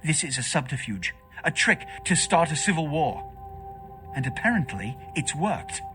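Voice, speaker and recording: Imperious vampire prince, bright, animated voice. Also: male voice, on the high side, moderate pace, soft background music. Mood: agitated, indignant. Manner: pompous, formal, imperious. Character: haughty, scheming vampire prince ruling a city. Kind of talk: theatrical video game voice acting.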